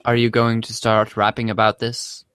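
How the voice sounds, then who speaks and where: A man's voice: lowish voice, completely flat delivery, moderate pace, fairly bright tone, smooth, dry recording, studio quality, natural voice. A man reading the part of an arch, teasing, bookish teenage girl in a comic script reading, mock-formal and poetic.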